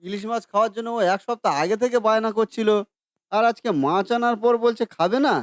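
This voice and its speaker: A man's voice: high voice, steady tone, fairly quick, dry recording, clean studio sound, close to an everyday voice. A man voicing a mild, placating father in a cartoon with a soothing manner. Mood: easygoing.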